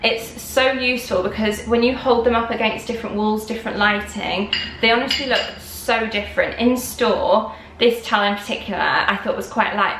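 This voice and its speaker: A woman's voice: medium pitch, fairly bright tone, a little hiss or hum, poor audio. A young woman chatting casually and enthusiastically about her home renovation, with lots of "like" and "um". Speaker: vlogger. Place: house under renovation, indoors. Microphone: camera held or set close, front-facing.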